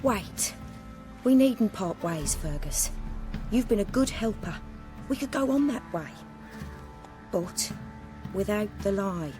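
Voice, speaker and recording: Self-assured armorer, music behind. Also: female voice, medium pitch, animated, slow pace, long pauses. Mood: warm, confident. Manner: courteous, direct, composed. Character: self-assured, polite female master armorer, plain-spoken and firm. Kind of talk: video game character acting.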